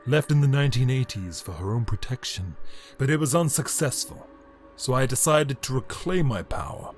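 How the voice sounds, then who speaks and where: A man playing a bitter, power-hungry immortal alien emperor in a dramatic audio drama monologue, brooding and grandiose, with deliberate theatrical pauses. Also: mid-range voice, close to an everyday voice. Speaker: reader of an audio story.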